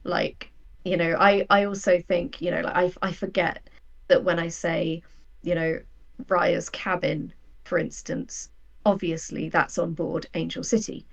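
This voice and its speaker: A woman's voice on the lower side, with a marked creak. She talks as an interview guest, reflecting openly and explaining her working process with frank self-doubt. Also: steady tone, measured pace, lo-fi sound. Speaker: guest, audio drama writer.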